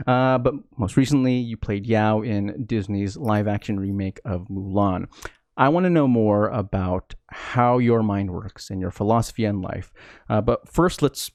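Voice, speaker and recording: A man hosting an interview, asking measured questions and backing the guest with frequent small acknowledgements. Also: fairly low pitch, a little mellow, no echo. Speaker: podcast host.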